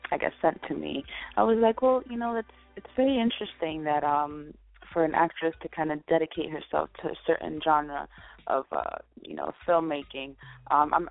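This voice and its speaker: A woman hosting a radio interview show, chatting casually with lots of fillers and restarts. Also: low-pitched. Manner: chatty, many fillers and restarts, laughs often. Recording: tinny phone-line sound, dry.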